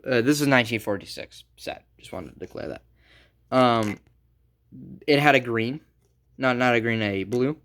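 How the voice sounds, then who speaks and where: A man giving a casual, unscripted how-to as a hobbyist repairer, halting and informal as he thinks aloud. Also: mid-range voice, creaky. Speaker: hobbyist electronics repair presenter.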